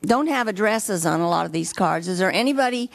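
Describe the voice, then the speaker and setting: Woman, meeting moderator, brisk, practical, dry sound. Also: mid-range voice, expressive, creaky, no echo. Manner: brisk, practical, gives instructions. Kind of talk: chairing a public meeting. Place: public meeting hall.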